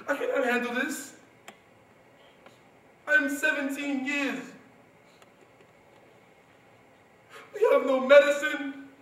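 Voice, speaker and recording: Man acting an anguished teenage refugee boy, echoing stage. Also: very high voice. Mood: breaking, despairing. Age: young adult. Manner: impassioned, pleading, swinging between cries and hushed grief. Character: anguished seventeen-year-old Darfuri refugee boy, protective older brother. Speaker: student performer. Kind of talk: theatrical dramatic monologue on stage. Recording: roomy, distant stage recording, faint noise.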